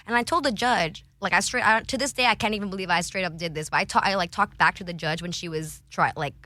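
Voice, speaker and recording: Young woman, podcast guest, bright forceful voice, animated, swears freely, laughs often, dry close sound. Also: lowish voice, fast talker, no echo. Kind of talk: candid casual storytelling.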